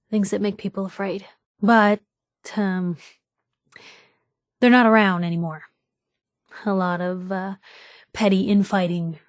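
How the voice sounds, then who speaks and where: A woman's voice: medium pitch, no echo, close to an everyday voice. Wry, nosy young reporter played by a woman, close dry sound. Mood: wry, earnest. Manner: wry, inquisitive, colloquial and punchy. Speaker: video game voice actor. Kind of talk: video game companion dialogue.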